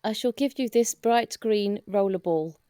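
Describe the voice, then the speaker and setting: A woman's voice: medium pitch, measured pace, mellow, dry recording, natural voice. Woman as a fussy polite hospital helper, formal but flustered and a bit stammery, dry sound, comedy audio play. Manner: formal, courteous, slightly stammering. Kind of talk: comedic audio roleplay drama.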